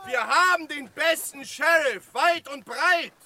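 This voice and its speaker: An actor playing a rousing, law-minded townsman in a Western mob for a German radio play, shouting declamatory lines. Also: very high voice, takes it slowly, over a noisy crowd, heavily acted. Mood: rousing, insistent.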